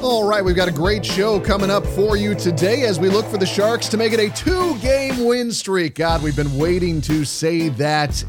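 Male sports show host over music. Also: fairly high pitch. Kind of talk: sports radio show hosting and interview.

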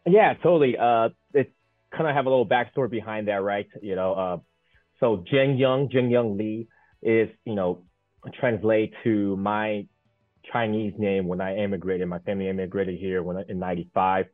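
A man's voice: lowish voice, normal speed, no echo, soft background music. Man from Taiwan on a tinny line. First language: Mandarin. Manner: rambling, many fillers and restarts. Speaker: guest, Paralympic sled hockey goaltender. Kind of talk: casual interview chat.

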